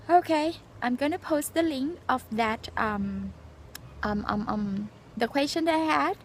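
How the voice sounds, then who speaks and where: Woman, 40s, Thai accent, Thai native speaker, high voice, earnest, halting, lots of ums. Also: slight background noise. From Thailand. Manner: earnest, halting, frequent fillers and restarts. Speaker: nurse sharing exam experience. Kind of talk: casual personal vlog, storytelling and advice.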